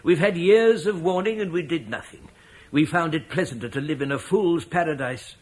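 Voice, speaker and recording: An actor playing a measured, resigned Roman gentleman in a classic radio drama. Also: fairly high pitch, natural voice. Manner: measured and reflective.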